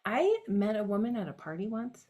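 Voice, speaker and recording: A woman's voice: medium pitch, big pitch swings, moderate pace, darkish tone. A woman performing a reflective autobiographical monologue on stage, speaking directly to her grandfather.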